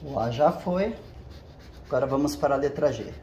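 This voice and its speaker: A man's voice: mid-range voice, expressive, moderate pace, mellow, muffled recording, slight background noise. A man hosting a casual how-to video, explaining each step while he works with his hands and checking in with his viewers as he goes. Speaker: channel host. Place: home garage with the door open. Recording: echoey garage.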